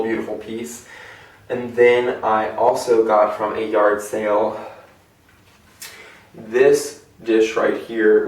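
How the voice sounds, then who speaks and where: A young American man presenting a collection in a casual, chatty, enthusiastic and rambling vlog style. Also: on the low side. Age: young adult. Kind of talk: casual show-and-tell vlog. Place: indoor room at home. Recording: echoey room, distant microphone.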